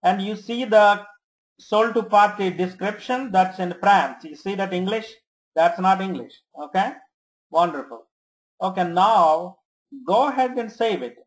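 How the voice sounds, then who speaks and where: Software trainer for screen tutorial: unhurried, slow delivery, short instructional commands with frequent check-ins. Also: medium pitch, low-quality recording. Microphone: screen-recording voice-over.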